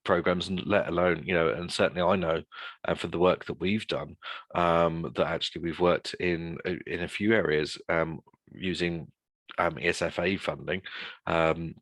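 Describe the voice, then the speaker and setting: A man speaking unscripted as a guest in a webinar discussion, halting and often rephrasing mid-sentence. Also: sits low, steady tone, fairly bright tone, no room sound. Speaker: guest speaker presenting a survey report.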